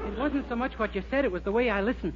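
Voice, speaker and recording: A man's voice: very high-pitched, racing, crowd noise. A man playing a naive, eager young store clerk with earnest, innocent comic timing in a 1940s radio sitcom. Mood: breezy, confident. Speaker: comic actor. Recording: muffled, very noisy 1940s radio recording.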